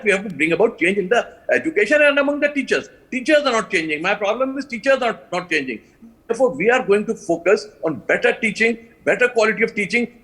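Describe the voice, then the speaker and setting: Bright, husky older Indian man. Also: high-pitched, poor audio. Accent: Indian English. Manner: earnest, emphatic, repeats key phrases. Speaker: panel guest, alliance co-founder. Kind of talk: live TV panel interview.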